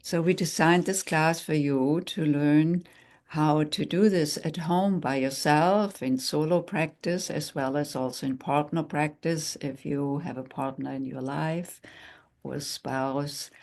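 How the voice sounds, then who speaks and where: An older woman with a German accent, co-hosting a tantra workshop in a measured, pausing way. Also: low voice, steady tone, a little mellow. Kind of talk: casual workshop talk.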